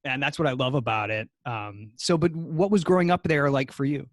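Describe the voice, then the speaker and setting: A man's voice: medium pitch, fairly quick, no echo. A man from New Hampshire co-hosting an interview, friendly and joking as he asks questions. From USA, New Hampshire. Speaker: podcast co-host. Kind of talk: casual interview chat.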